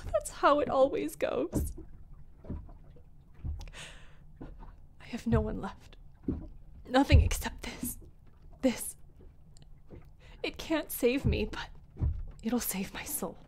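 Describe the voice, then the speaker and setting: A female ship captain in an audio drama, frightened and worn down, her fairly high voice slipping into whispers. Faint noise sits under the recording. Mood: terrified. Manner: frightened, breaking into whispers and cries.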